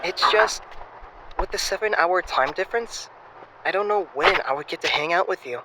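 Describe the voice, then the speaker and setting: A young man playing a flippant, self-absorbed exchange student, evasive and excitable, in an audio drama phone call. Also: high voice, moderate pace, natural voice. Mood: flippant, playful. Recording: noisy phone-call style recording.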